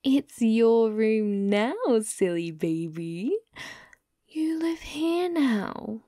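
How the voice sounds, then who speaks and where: Slow, bratty yandere captor played by a woman. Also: a little high, lively intonation, warm tone, dry recording. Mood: cold threatening. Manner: taunting, teasing, cooing, breathy drops to whisper. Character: young possessive yandere captor, bratty, sadistic, mockingly sweet. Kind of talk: ASMR audio roleplay.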